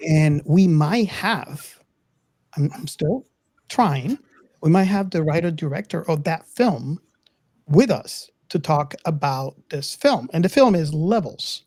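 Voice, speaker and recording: A man's voice: a little high, lively intonation, slow pace, darkish tone, no echo. A man hosting a casual podcast discussion, speaking hesitantly and often repeating his words. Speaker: podcast host.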